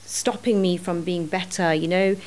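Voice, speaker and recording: A middle-aged woman, a podcast guest, talking earnestly and thinking aloud, often pausing with fillers. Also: on the low side, steady tone. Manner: earnest, thinking aloud with many "you know"s. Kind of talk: reflective podcast conversation.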